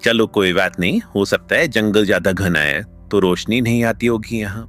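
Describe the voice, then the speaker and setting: A man playing an earnest, expressive village farmer in a cartoon story. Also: fairly low pitch, moderate pace, close to an everyday voice. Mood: puzzled. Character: two earnest village farmer friends, adult men. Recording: music behind the voice.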